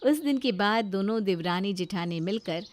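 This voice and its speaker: A woman's voice: medium pitch, animated, normal speed. A woman narrating a scripted moral story as a storyteller.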